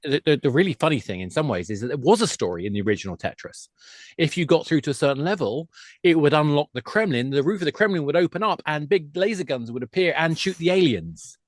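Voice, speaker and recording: A man's voice: a little high, animated, fast, dry recording. A man speaking as an expert podcast guest, explaining conversationally with enthusiasm and emphasis, often restarting to rephrase.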